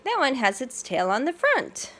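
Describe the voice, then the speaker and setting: A woman voicing an eager young boy bear in a picture book, with short excited observations. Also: sits high, normal speed, pitched above the performer's own voice, exaggerated voice.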